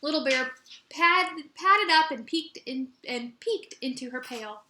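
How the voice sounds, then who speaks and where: A woman teacher reading a children's story aloud in an expressive, animated way with dramatic emphasis. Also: sits high, a little slow, a little hiss or hum. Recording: roomy echo.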